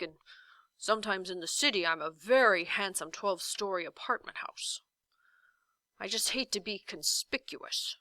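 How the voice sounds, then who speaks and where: A woman's voice: medium pitch, pushed and bright, dry recording. A woman voicing a huge gelatinous giant in a children's storybook, blustering and ferocious yet timid and hesitant. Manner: blustering, hesitant, hedging every statement. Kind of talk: children's storybook read-aloud.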